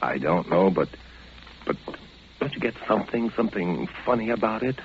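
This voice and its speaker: An actor with an American accent playing an anxious, insistent husband, hesitant and intense, in 1940s radio style. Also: male voice, on the low side, lively intonation, moderate pace, slight background noise, natural voice. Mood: uneasy. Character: anxious, insistent architect husband searching for his wife. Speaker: actor and narrator. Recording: dull old radio recording with music.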